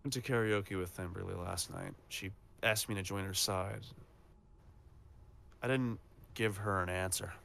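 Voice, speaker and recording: A man playing a proud, defiant supervillain with a soft side in an audio drama, speaking emphatically and earnestly. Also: low-pitched, steady tone, a little slow, vocal fry, pauses often, slight background noise, exaggerated voice.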